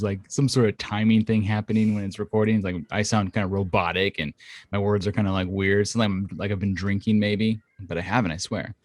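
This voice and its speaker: A man co-hosting a casual podcast, talking at length with lots of fillers into a home condenser mic. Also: no room sound. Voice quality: thinks his voice is high-pitched. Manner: rambling, filler-heavy, explains at length. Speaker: podcast co-host. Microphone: studio condenser mic at home.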